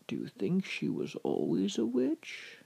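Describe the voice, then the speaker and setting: A wise old master from a storybook, acted by a man, cryptic and calm. He speaks in a measured way, with a husky, gravel-filled voice that lifts higher. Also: full character voice. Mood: knowing. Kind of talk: improvised bedtime story dialogue.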